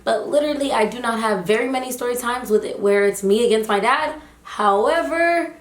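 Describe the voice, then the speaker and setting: A young woman telling a casual storytime vlog, chatty and animated with lots of fillers, her voice slightly hoarse. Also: a little high, brisk. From United States, Haitian family. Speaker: vlogger, teacher. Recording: echoey room.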